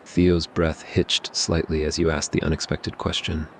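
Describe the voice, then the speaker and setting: A man narrating a scripted ASMR roleplay story in a measured, even way. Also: extremely low pitch, slight background noise.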